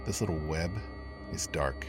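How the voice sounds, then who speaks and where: Very deep-voiced brooding investigator narrator. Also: male voice, unhurried, loud music behind the voice. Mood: grave, brooding. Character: brooding male investigator narrating a dark mystery podcast. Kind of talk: scripted first-person horror podcast narration.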